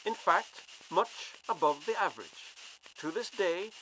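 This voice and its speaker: Low, expressive woman's voice. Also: measured pace, low-quality recording. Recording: faint background noise and rustling.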